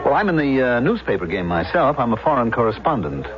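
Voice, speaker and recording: A man's voice: medium pitch, very animated, fast talker, close to an everyday voice. An actor playing a pompous, talkative middle-aged man in a 1970s radio drama, expansive and insistent. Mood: jovial, boastful. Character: pompous, garrulous middle-aged man who plays invented roles. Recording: dull vintage radio, loud music behind.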